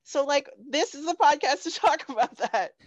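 A woman's voice: high voice, expressive, moderate pace, no echo. An American woman, a young adult, hosting a podcast in an informal, conversational style on slightly lo-fi audio. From Phoenix, Arizona, USA. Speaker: podcast host. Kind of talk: casual chat.

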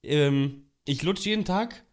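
Man, young adult, hoarse from a cold, casual podcast chat, rambling, swearing. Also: fairly high pitch, slow. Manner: rambling, swears freely, complains. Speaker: podcast co-host.

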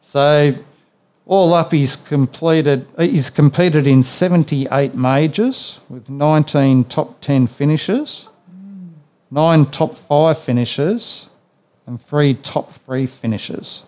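A man's voice: on the high side, slow, darkish tone. A man with an Australian accent hosting a sports memories podcast, chatting casually and filling his talk with "yeah"s and "you know"s. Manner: rambling, with many fillers and "yeah"s. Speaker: podcast host.

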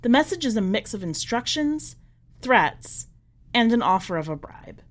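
Measured woman reading a story aloud. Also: fairly high pitch, very animated. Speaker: podcast editor reading a short story aloud. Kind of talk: scripted narration of literary fiction.

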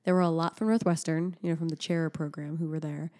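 A woman's voice: low voice, level tone, fast, a little mellow. A woman in her thirties, co-hosting a podcast in casual chat, a chatty storyteller who laughs often. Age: 30s. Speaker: podcast co-host.

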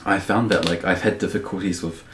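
Young kiwi guy with a deep voice, rambles a lot, says like constantly, echoey room. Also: fairly even intonation, fairly quick, crowd noise. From New Zealand. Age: young adult. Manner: rambling, heavy use of "like", many restarts. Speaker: guest. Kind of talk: casual podcast chat.

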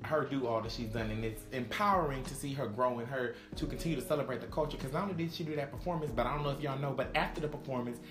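A man's voice: mid-range voice, reverberant, music in the background. A man with a Texan accent co-hosting a casual podcast chat, talking in an emphatic, opinionated way. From Texas. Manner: emphatic, drawn-out, opinionated delivery. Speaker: podcast co-host.

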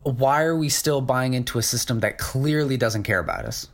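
A wry co-host throwing in sarcastic quips during casual podcast talk. His voice sits at a middle pitch. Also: expressive, normal speed. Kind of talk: casual political chat.

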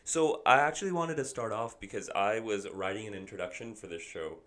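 A man's voice: lowish voice, fairly quick, a bit bright. A young man from India with an American accent, hosting a casual interview, who laughs often and mixes in Hindi words. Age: young adult. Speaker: podcast host.

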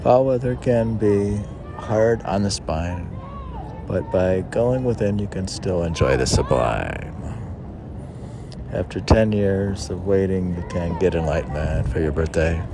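A man reading his own poems aloud outdoors, line by line in a halting way, with casual asides. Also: sits low. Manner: halting, spells out words, reads aphorisms line by line. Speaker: poet reading own work. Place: outdoors on a city museum lawn. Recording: outdoor recording with clear urban noise.

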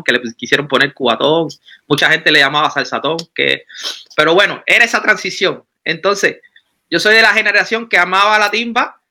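A Cuban man with a very bright, ringing voice talks at a fairly high pitch. He is a musician in his thirties who tells his story in a relaxed interview. He restarts his sentences often as he goes. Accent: Cuban Spanish. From Cuba. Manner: animated, rambling with frequent restarts. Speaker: musician guest. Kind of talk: casual interview talk.

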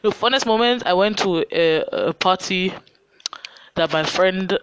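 A man's voice: high voice, unhurried, a bit bright, a little hiss or hum, poor audio. Tired Nigerian teen guy podcasting. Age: teens. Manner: chatty, rambling, self-mocking asides. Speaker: podcast host. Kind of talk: casual solo podcast, get-to-know-me questions.

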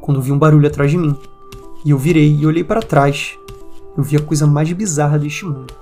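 A man's voice: on the high side, fairly quick, mellow. A man with a Brazilian accent hosting a podcast, reading out and commenting on listeners' eerie stories. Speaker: podcast host. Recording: music bed under the voice. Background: background music.

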